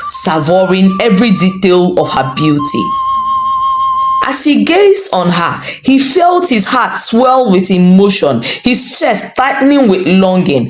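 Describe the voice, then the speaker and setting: A woman with a Nigerian accent narrating a folktale in a measured, dramatic, expressive storytelling style. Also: mid-range voice. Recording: roomy echo under light music. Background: music.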